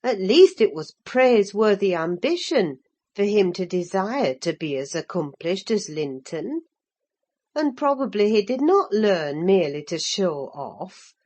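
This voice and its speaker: A woman voicing an older female housekeeper in an audiobook, gently scolding a young girl in a measured, reproving way. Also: mid-range voice, sing-song, voice pushed down. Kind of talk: audiobook reading.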